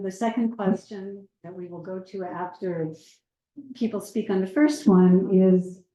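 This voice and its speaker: A woman moderating a community panel, welcoming a live audience in a gentle, measured and slightly hesitant way. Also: medium pitch. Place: community meeting room with a seated circle. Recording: roomy room sound.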